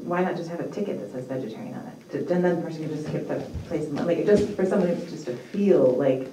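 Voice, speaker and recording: A woman's voice: deep voice, quick pace. A woman speaking informally and a little tentatively at a meeting, heard from far off in an echoey room. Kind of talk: conversational public comment at a meeting. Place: mid-sized indoor meeting room. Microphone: far room microphone. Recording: echoey room, distant mic, faint noise.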